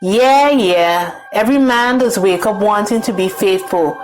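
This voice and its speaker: A woman's voice: medium pitch, a little slow, soft background music, natural voice. A woman voicing a sceptical, scolding young wife with a Caribbean accent, nagging and reproachful, in an audio drama. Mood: warning.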